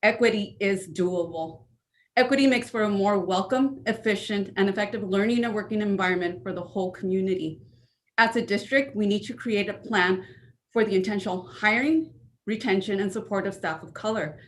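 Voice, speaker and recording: A woman speaks in a brisk, determined way, giving a prepared presentation to a school board. Her voice sits at a middle pitch. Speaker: assistant principal.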